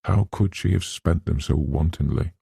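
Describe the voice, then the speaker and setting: A man narrating a literary novel as an audiobook in measured, scripted storytelling. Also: very deep voice, gravelly, dark tone, slightly rough, no room sound, clean studio sound.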